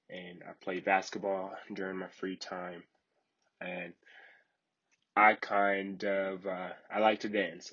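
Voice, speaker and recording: A man from Liberia leads a training session in a low, husky voice. His delivery is fairly flat, with a polite, formal, instructional manner. The recording is a little dull and lo-fi. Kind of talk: online training lecture.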